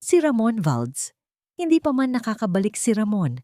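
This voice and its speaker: A woman's voice: a little high, wildly swooping pitch, moderate pace, mellow, dry recording, studio quality. A woman reading scripted, dramatic story narration.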